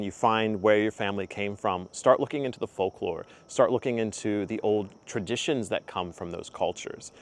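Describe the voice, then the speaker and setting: A young man hosting his own channel, giving heartfelt spiritual advice in a casual, earnest way, with frequent ums and uhs. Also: fairly low pitch. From United States. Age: young adult. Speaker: channel host.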